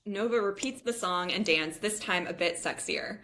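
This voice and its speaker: A woman's voice: medium pitch, moderate pace, bright, forceful tone. A woman reading screenplay stage directions as narrator in scripted table-read style.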